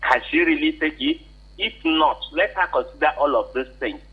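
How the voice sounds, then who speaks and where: A man's voice: a little high, normal speed, husky. A man with a Nigerian accent giving unscripted advice as a radio caller, insistent and questioning, repeating points for emphasis. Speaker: call-in contributor. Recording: muffled, tinny phone line.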